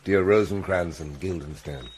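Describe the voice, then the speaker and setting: A man's voice: sits low, normal speed, music in the background, close to an everyday voice. An actor playing a commanding, courtly middle-aged king with stately delivery in a classical Shakespearean drama. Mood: gracious, concerned.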